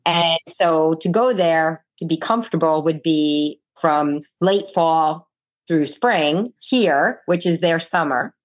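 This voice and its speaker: Low-pitched older woman, chatting. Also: slow. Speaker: interview guest. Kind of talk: casual conversational storytelling.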